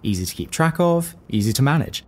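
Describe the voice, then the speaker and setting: A man's voice: fairly high pitch, big pitch swings, brisk. An actor playing a fussy, suspicious, self-satisfied young businessman in an audio drama, clipped, emphatic and repeating himself. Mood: smug, brooding.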